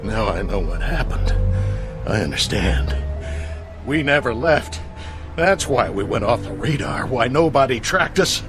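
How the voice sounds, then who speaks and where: An actor playing a cold, desperate stranded astronaut turned killer in a radio drama. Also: male voice, mid-range voice, sing-song, unhurried. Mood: anguished, remorseful. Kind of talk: dramatic radio play acting. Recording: radio drama with background music. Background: music.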